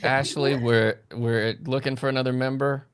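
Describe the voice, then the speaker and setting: A man's voice: mid-range voice, little pitch movement, normal speed, a crowd in the background. A man co-hosting a comedy show, chatting in casual banter.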